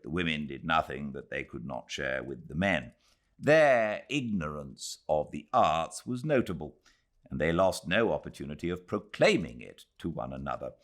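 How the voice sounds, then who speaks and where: British man, low-pitched, widely varied intonation, measured literary audiobook narration, dramatic phrasing. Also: a bit bright. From Britain. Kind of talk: literary scripted narration, expressive reading.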